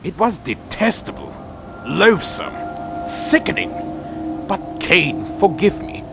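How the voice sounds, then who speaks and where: A man's voice: sits high, very animated, takes it slowly, creaky, music in the background, heavily acted. A man playing a proud, brooding vampire clan leader in an audio drama, speaking in a solemn, imperious, theatrical way. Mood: brooding, anguished.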